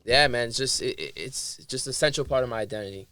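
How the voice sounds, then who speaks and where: A man's voice: medium pitch, fast talker, no echo. A man with a New York accent, guest on a podcast, chatting casually and easily. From Harlem, New York. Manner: relaxed, easygoing, frequent "you know" and "man".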